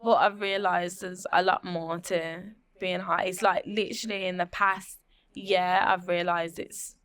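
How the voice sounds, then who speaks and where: A woman's voice: fairly low pitch, flat delivery, measured pace, a bit bright, clear, resonant tone. A young woman singer as a podcast guest, talking in a friendly, easy way. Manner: friendly, laughs easily. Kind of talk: casual chat.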